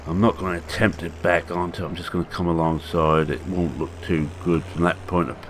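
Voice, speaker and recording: A man in his sixties with a hoarse, croaky voice, giving casual, rambling commentary on a farming game with halting fillers. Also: low voice, steady tone, slight background noise. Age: 60s. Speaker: farming game video maker.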